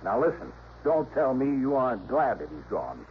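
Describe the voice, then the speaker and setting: An actor playing a gruff, dogged police sergeant in a radio drama, speaking bluntly. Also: male voice, mid-range voice, very animated, moderate pace. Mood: scornful, stern. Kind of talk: 1960s radio drama acting. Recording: muffled old radio recording, faint noise.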